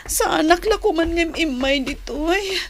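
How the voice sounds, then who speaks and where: Actress as a very high-pitched flustered woman. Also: animated, measured pace, slight background noise, exaggerated voice. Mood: distressed, strained. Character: panicked high-voiced woman, flustered and fretful. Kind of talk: Filipino radio drama acting.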